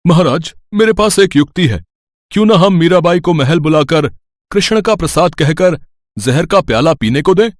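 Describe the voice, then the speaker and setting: A man's voice: on the high side, expressive, moderate pace, no room sound, clean studio sound, close to an everyday voice. A man playing an eager, deferential royal soldier in a devotional story, speaking formally and emphatically. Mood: eager, scheming.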